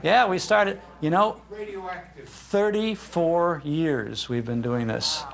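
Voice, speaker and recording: A man's voice: on the high side, slow, gravelly. An older man, a radio host, giving an informal live talk in a chatty, anecdotal way into an event microphone. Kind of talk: informal public talk, storytelling. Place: speaking live in a public library room.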